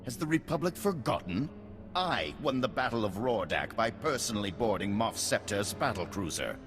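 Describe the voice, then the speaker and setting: A very deep, haughty male villain in a video game, ringing in a long hall over clear music. He is a proud usurper, contemptuous and animated in delivery. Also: normal speed. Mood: indignant. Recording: reverberant hall, music underneath.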